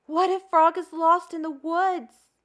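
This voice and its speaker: A woman's voice: very high voice, level tone, measured pace, fairly bright tone, pitched above the performer's own voice. A woman voicing an anxious, devoted male toad in a storybook, speaking with dramatic emphasis. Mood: worried, fearful. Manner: dramatic, emphatic worrying and questioning.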